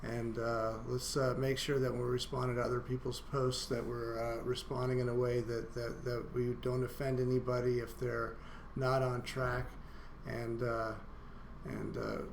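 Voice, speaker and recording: A male college instructor talks through his syllabus in a flat, monotone voice that barely rises or falls. He stumbles along with frequent fillers and restarts. The room rings with echo and carries a faint hum of ambience. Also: mid-range voice, vocal fry. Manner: halting, many "uh" fillers and restarts. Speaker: university instructor. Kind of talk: recorded online course syllabus walkthrough.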